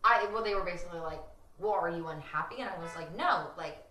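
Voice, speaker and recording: A young woman co-hosts a podcast in casual, animated chat, scattering "like" through her talk. Her voice sits a little low, and an echo hangs around it as if she is in a bare room. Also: fast, slight background noise. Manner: chatty, animated, fillers of "like". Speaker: podcast co-host. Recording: roomy echo.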